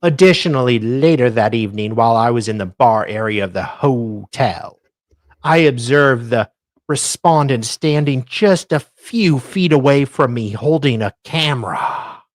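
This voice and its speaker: A man's voice: medium pitch, lively intonation, unhurried, no echo. Sardonic man reading aloud, dry recording. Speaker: co-host doing a dramatic reading. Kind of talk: dramatic reading of legal filings with sardonic asides.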